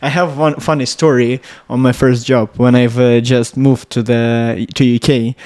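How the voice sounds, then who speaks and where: Latvian man with a Russian accent, halting. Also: mid-range voice, level tone, a little mellow. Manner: halting, searching for words, many restarts. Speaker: podcast guest, electronics engineer. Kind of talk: casual podcast conversation.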